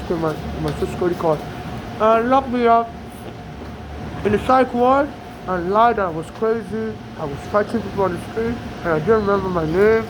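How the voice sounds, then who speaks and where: A woman's voice: medium pitch, noisy background. A woman from Nigeria, speaking American English with a trace of a Nigerian accent, tells her story in a rambling, aggrieved monologue with many restarts. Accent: Nigerian-tinged American English. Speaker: immigrant telling her story. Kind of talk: personal monologue to camera.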